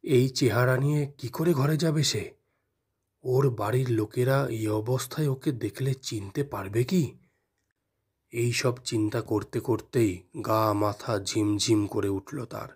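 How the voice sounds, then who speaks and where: A man narrating a scripted horror story in a measured, dramatic, suspenseful manner. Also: mid-range voice, darkish tone, breathy edge.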